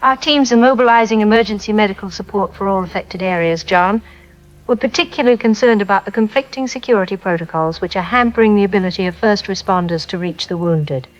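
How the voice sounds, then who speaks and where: An actress playing a concerned, businesslike humanitarian coordinator giving a formal, articulate briefing in an audio drama news interview. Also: female voice, mid-range voice, fast talker, lo-fi sound, natural voice. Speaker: aid spokesperson.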